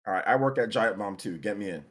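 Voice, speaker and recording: A man's voice: fairly low pitch, lively intonation, quick pace, fairly bright tone. A man in his late forties joining a casual gaming chat as a guest critic. He laughs easily and jokes about his own age. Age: late 40s. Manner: self-deprecating, laughs easily.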